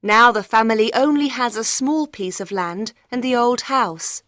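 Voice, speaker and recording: A woman acts a troubled young gentlewoman in a storybook mystery. Her voice is fairly high, earnest and carefully enunciated. The sound is close and dry. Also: moderate pace, dry recording, lightly acted. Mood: troubled, explaining. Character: frightened, desperate young gentlewoman of about thirty. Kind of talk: dramatised audiobook reading.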